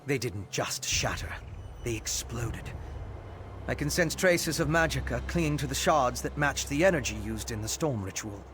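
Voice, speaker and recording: A man's voice: mid-range voice, animated, moderate pace, a bit bright, slight background noise, close to an everyday voice. A man voicing a nervous, scholarly vampire in a video game, speaking in a wry, courteous, articulate way. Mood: intent, curious. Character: polite, nervous, scholarly male vampire investigator. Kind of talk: video game character acting.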